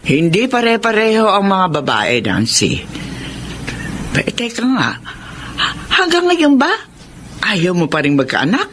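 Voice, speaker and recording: A woman's voice: mid-range voice, wildly swooping pitch, a little slow, long pauses, a bit bright, slight background noise. A woman playing a worried older mother who nags and scolds her grown daughter in a radio drama. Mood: nagging concern. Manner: nagging, exclamatory. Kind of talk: Filipino radio drama acting.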